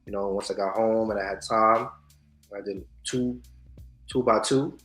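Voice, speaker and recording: Animated male host in an echoey room. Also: fairly low pitch, unhurried. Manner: animated, swings between loud hype and soft asides. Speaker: podcast co-host. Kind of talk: casual chat on news and culture. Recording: echoey room with faint music.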